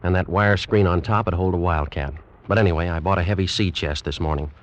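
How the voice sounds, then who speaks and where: An actor playing a determined male animal collector who gives brisk, dramatic first-person narration in a 1940s radio drama. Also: low-pitched, natural voice. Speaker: narrator and lead. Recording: dull old radio recording with music.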